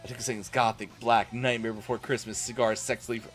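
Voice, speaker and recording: A man's voice: medium pitch, level tone, quick pace. A man doing a comic dramatic reading as a streamer, with exasperated, incredulous asides. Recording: music playing behind the voice. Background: music.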